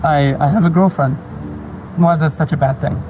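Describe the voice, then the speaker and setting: A man playing a polite, mild-mannered surgeon in an audio drama, gently hesitant. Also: a little high, fairly even intonation, a little fast, natural voice, poor audio. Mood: awkward, gentle. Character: courteous, mild-mannered male surgeon, adult. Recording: music behind. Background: music.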